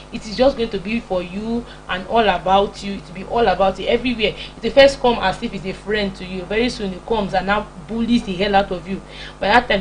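A woman's voice: mid-range voice, low-quality recording. A young Nigerian woman gives an informal motivational sermon in an emphatic, repetitive, scolding manner with a Nigerian accent. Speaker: preacher and broadcast host. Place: small indoor home-style studio. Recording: clear background hum and noise.

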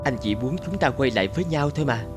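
Casting: a man acting a smug blackmailing ex, young, mid-pitched, coaxing yet menacing, cartoon drama with loud music. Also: lively intonation, fast, lightly acted. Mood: smug, threatening. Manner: insinuating, coaxing with veiled threat.

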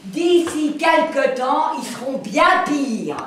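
A woman's voice: sits high, animated, takes it slowly. Woman playing a brash street fortune-teller. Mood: boisterous. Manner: slangy, cajoling, calls out to the audience. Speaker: cabaret performer. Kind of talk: live stage monologue and song. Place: small indoor café-theatre. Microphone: far camera. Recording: roomy café from far off, music.

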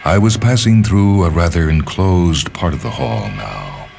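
Very deep-voiced man narrating a story. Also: expressive, a little slow, vocal fry, darkish tone, slight background noise. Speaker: reader of short stories on a radio show. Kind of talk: dramatic literary story narration. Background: background music.

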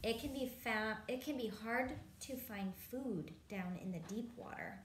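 A woman's voice: a little high, moderate pace. A woman in her thirties reading a picture book aloud to young children, adding simple explanations and questions. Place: indoors in a home room, seated at a table. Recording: echoey room, clearly noisy home recording.

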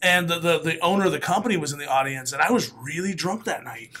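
Raspy, animated comedian on a podcast. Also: male voice, a little high, fast talker. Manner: animated storyteller, laughs often, bursts into loud announcer calls. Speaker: comedian guest. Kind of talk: casual podcast interview. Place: small studio room. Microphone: studio mic, headphones.

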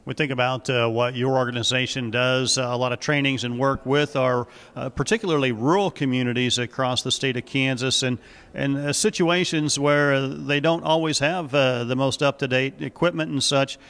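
A man's voice: medium pitch, fairly even intonation, moderate pace, a bit bright. A middle-aged man, a farm radio host asking interview questions with frequent "uh" fillers. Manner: friendly broadcaster, leading questions with "uh" fillers. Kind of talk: broadcast interview. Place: indoor office. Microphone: handheld station mic.

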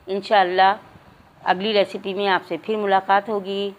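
A woman's voice: medium pitch, flat delivery, normal speed, muffled recording. A woman hosts a cooking channel. She walks viewers through a recipe step by step in her home kitchen, addressing them formally and counting out each measure aloud.

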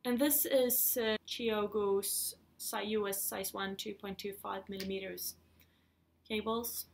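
A woman's voice: medium pitch, fairly even intonation, slow, gravelly, a little hiss or hum. A woman in her thirties from Sweden with a Swedish accent, chatting casually and a little hesitantly as a podcast host at home. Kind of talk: casual knitting vlog chat. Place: living room at home, indoors.

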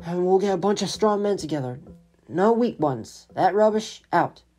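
A man's voice: sits high, lively intonation, moderate pace, darkish tone, soft background music, pitched above the performer's own voice. A man voicing a fervent, half-crazed artilleryman survivor in a radio play, ranting and insistent. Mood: fervent, excited. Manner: insistent, ranting, punctuating with "see?". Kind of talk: old-time radio drama acting.

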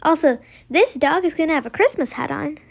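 A woman's voice: high voice, lively intonation, fairly quick, vocal fry. A young girl narrating a step-by-step drawing tutorial in a casual, simple way. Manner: simple, informal instruction with frequent "like".

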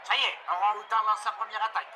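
A man voicing an excited cartoon ringside boxing commentator, announcing with rising urgency. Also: sits high, rapid-fire, natural voice. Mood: building excitement. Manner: breathless announcing, rising to shouts. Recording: loud crowd and noise behind. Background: roaring stadium crowd.

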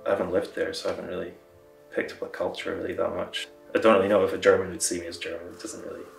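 A man's voice: sits low, vocal fry. A man in his twenties being interviewed indoors by large windows. He talks hesitantly and often qualifies what he says. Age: 20s. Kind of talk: documentary interview. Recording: roomy, distant, soft music under.

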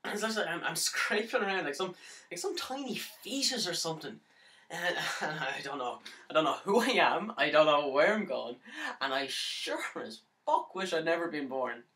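A man performing a dramatic monologue as a lovesick, despairing young man, halting and stammering, on the edge of mania. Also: on the high side, lively intonation, bright, forceful tone. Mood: despairing, manic. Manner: halting, stammering, nervous laughs breaking into anguished outbursts. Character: lovesick, despairing, manic young man pleading his case. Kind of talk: dramatic monologue for an audition tape. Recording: roomy pickup, distant from the mic.

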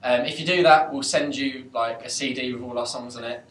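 A man's voice: medium pitch, fairly even intonation, a little fast, a bit bright. A young man from a band, rambling and jokey as he promotes a competition in a casual video. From United Kingdom. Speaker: band member. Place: dark room, band seated on a couch. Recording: echoey room from far off.

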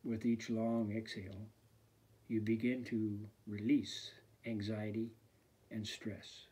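A man, a fitness instructor, leads a guided meditation in a slow, soft, soothing voice that often sinks to a near whisper. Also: fairly low pitch, little pitch movement, husky.

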